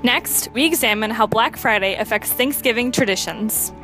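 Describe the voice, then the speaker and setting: A teenage girl presenting a student news program in a steady read-from-script manner. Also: on the high side, moderate pace, soft background music, rough recording. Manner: reads evenly from a script.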